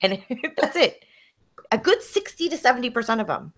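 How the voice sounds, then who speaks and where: A woman's voice: on the high side, big pitch swings, moderate pace, fairly bright tone. A woman in casual conversation, talking in a sarcastic, mock-serious, teasing way.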